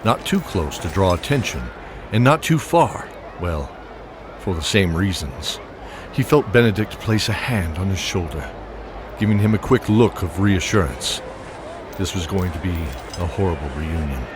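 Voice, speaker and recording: Deep-voiced man narrating a fantasy tale. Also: animated, moderate pace, noisy background. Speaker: narrator and game master. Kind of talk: scripted narration.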